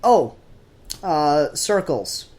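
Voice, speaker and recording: A man's voice: fairly high pitch, big pitch swings, drawn-out words, a little mellow. Blunt man chatting casually. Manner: opinionated, blunt. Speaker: podcast co-host. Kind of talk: casual comic book discussion.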